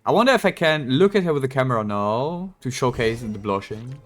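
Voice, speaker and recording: A man's voice: medium pitch, lively intonation, fairly quick, faint music behind. A man streaming a game with casual, blunt, sweary live commentary.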